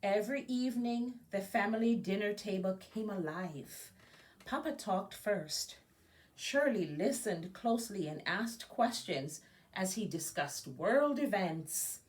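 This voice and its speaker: Expressive woman reading a storybook aloud, unhurried. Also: mid-range voice, distant mic. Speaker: guest storybook reader. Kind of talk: children's picture book read-aloud.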